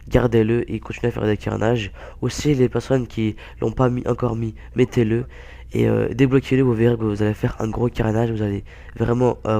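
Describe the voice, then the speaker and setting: A young man with a hoarse, blocked-nose voice from a cold, giving halting, casual gameplay commentary full of fillers. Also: medium pitch, warm tone. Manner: halting, many fillers and restarts. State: hoarse, stuffy-nosed with a cold. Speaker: gaming YouTuber.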